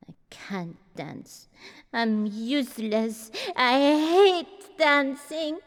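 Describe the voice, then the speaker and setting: Slow-spoken, petulant young aristocratic girl. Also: high voice, long reverb tail. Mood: sulky. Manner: petulant, blunt, imperious. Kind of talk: TV drama acting.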